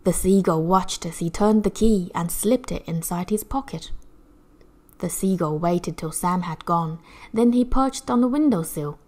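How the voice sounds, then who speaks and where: A woman narrating a rhyming children's picture book in a measured, rhythmic, theatrical way. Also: on the low side, a little mellow. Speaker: children's story reader.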